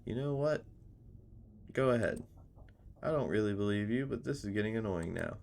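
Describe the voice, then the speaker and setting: A man's voice: lowish voice, expressive, moderate pace, vocal fry, darkish tone, a little hiss or hum. Man voicing a suspicious, folksy local drinker. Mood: grudging. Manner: guarded, folksy, needling. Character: suspicious, grudging local pub drinker, gossipy townsman. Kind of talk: visual novel character reading.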